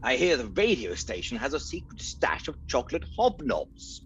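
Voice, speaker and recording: A man's voice: mid-range voice, huge pitch swings, normal speed, fairly bright tone, slight background noise. A man playing a breezy, self-satisfied captain in a comic radio drama, theatrical and jaunty. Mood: pleased. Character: breezy, pleased-with-himself male captain, royal cousin.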